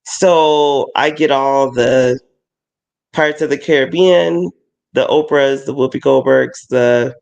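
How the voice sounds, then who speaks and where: A woman who performs at haunted attractions, talking in a relaxed, rambling way with lots of fillers and restarts. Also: extremely low pitch, slow pace, vocal fry, muffled recording. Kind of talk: casual chat.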